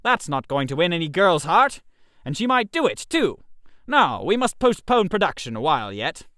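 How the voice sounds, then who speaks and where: A man acts out a bossy male playwright from a comic audio story. His voice is high and animated, with clipped, theatrical, decisive delivery in a dry recording. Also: normal speed, dry recording. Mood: businesslike, exasperated. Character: bossy, brisk male playwright staging a scheme.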